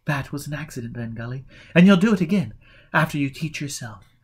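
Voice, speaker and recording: A man reading the part of a calm, forgiving woman in an audiobook, gently and patiently giving guidance. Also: a little high, a little fast, slightly rough.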